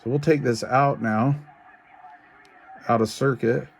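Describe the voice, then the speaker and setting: A man with an average-pitched voice talks through hands-on repair work. He is informal and folksy, thinking aloud as he goes. His delivery is halting and casual, like an unscripted demonstration. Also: fairly even intonation, vocal fry, slight background noise. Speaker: radio repair technician and video host. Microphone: handheld camera while working.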